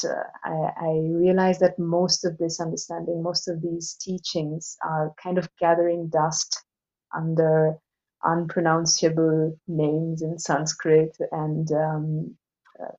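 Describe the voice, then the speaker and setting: A woman with an Indian accent talking reflectively as an interview guest, explaining things in a measured way. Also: on the low side, darkish tone, dull audio. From Assam, northeast India. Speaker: interview guest, yoga teacher. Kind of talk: thoughtful podcast conversation.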